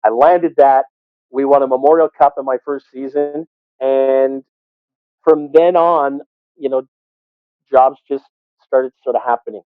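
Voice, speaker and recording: A man's voice: mid-range voice, fairly even intonation, a little slow, long pauses, smooth, no echo. A man answering interview questions candidly and reflectively, as a sports announcer telling his story. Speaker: sports public address announcer. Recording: tinny, phone-like call audio.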